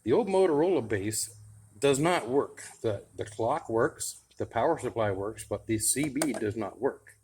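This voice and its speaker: A man's voice: mid-range voice, measured pace, background noise. A man talking casually to camera as a radio hobbyist vlogger, chatting loosely while he tinkers. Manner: relaxed and chatty, thinking aloud while tinkering. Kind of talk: casual how-to vlog.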